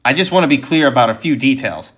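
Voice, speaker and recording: Man reading as an assured biologist, medium pitch. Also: animated, fast, fairly bright tone. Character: confident American field biologist, knowledgeable and precise.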